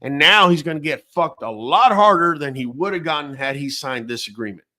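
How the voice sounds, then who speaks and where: A man's voice: on the high side, expressive, brisk, sharp tone. A man born in Venezuela, hosting a late-night talk show and giving blunt, profane opinions in a casual ranting way. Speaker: host.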